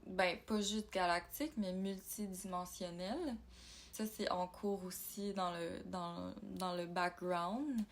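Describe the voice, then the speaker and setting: A woman from Ontario, talking in a casual personal vlog, reflective and halting as she thinks aloud. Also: lowish voice, fairly even intonation. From Ontario, Canada. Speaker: YouTuber.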